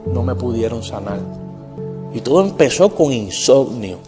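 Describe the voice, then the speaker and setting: A man's voice: medium pitch, expressive, over music. A man from Puerto Rico with a Puerto Rican Spanish accent, earnestly telling his faith testimony and quoting scripture. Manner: earnest, quotes scripture, slows for emphasis. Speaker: testimony speaker.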